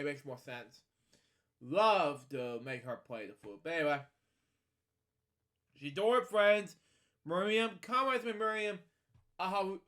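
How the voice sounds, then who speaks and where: A man's voice: sits high, bright, forceful tone. A man hosting a casual read-along of a children's storybook, drifting into opinionated asides to his listeners. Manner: digressive, opinionated commentary addressed to listeners. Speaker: podcast host.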